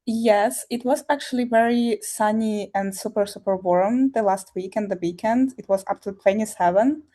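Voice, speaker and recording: Mellow giggly woman on a call. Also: mid-range voice, moderate pace. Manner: giggly, easygoing. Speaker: business operations worker, club student. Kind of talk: casual chat. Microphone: video call.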